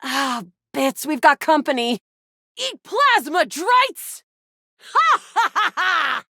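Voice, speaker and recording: Brash, animated young space-soldier heroine. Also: female voice, sits high, slow, no echo, clean studio sound, heavily acted. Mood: battle fury. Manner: brash, dramatic, self-assured. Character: cocky young female space soldier, action-game heroine. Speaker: video game voice actor. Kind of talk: video game character dialogue.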